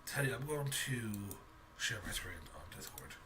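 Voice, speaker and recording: A man running a tabletop game, improvising the narration haltingly as he searches for words. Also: mid-range voice, sing-song. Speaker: game master. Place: online voice call. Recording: slightly distant mic, faint noise.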